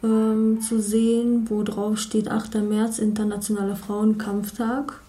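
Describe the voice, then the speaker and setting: A plain-spoken woman describing and explaining things spontaneously, in a halting way. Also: mid-range voice, flat delivery, warm tone.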